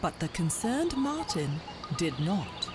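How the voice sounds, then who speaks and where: A woman tells a children's storybook tale with lively, varied intonation. Her narration is scripted and literary, with music playing behind it. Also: on the low side, takes it slowly.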